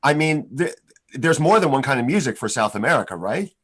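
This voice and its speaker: A man's voice: medium pitch, lively intonation, brisk, a bit bright. Guy hosting a kids music show over video call, talks in a middle voice, easygoing, lots of uhs. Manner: friendly, chatty, many fillers. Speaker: host. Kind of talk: live online school show.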